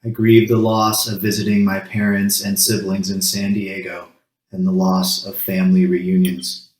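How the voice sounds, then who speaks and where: A man leading a congregation through a solemn grief ritual, speaking in measured short phrases with pauses between them. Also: low voice, level tone, darkish tone. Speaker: congregation worship leader. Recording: roomy, echoing sound.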